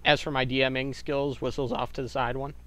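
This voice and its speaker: A man's voice: mid-range voice, flat delivery, brisk, bright, forceful tone, a little hiss or hum. A man hosting a casual chat, with a nasal voice and an easygoing, offhand manner. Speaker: host.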